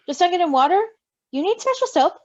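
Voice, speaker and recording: Woman as a high, playful teasing guy. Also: sing-song, quick pace, no room sound, highs cut off. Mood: flustered. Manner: bright exclaiming, informal. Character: playful, teasing young man, doting on a baby.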